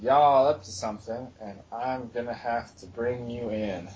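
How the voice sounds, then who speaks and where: A man's voice: mid-range voice, unhurried, a little mellow, muffled recording, slight background noise, close to an everyday voice. Man putting on a drawling accusing lawman. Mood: gruff, accusing.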